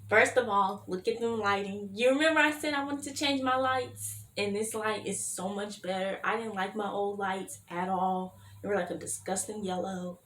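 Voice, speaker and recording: A tired young woman, her voice going hoarse, chatting in a rambling vlog with lots of "like" and "you know what I mean". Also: medium pitch, normal speed, hardly any pauses, fairly bright tone. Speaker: YouTuber, fan-fiction writer. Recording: echoey room with faint hiss.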